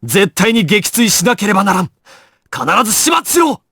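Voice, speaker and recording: Young mob squad boss from an anime game, really animated pitch, dry close sound, terse and commanding. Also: male voice, moderate pace, breathy edge, dry recording, full character voice. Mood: urgent shouting. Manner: terse, authoritative, giving clipped orders. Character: commanding young male gangster squad leader, resolute and composed. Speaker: video game voice actor. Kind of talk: Japanese anime game voice acting, dramatic.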